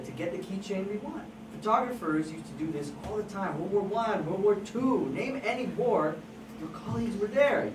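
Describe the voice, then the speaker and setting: A persuasive, scheming news photographer as an amateur actor reads the part at a table read. The delivery is emphatic and intense, swinging widely in pitch. It is heard from far off in an echoey room. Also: lightly acted. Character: scheming, persuasive crime photographer who stages fake scenes. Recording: distant, echoey, noisy room recording.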